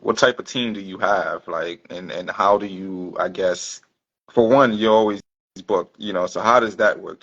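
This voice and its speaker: Host with a low gravelly voice. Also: male voice, fairly bright tone. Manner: laid-back, many short backchannels. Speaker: interview host. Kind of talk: casual interview chat. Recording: dull, lo-fi sound.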